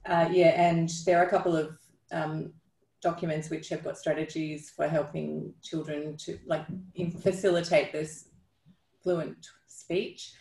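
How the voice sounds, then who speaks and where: A middle-aged woman with a gravelly creak in her slightly low voice takes questions on a video call. She talks informally and haltingly, and the room around her sounds echoey. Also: flat delivery, darkish tone. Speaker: clinician leading a question session. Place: room with brick wall, indoors. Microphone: video call on a computer.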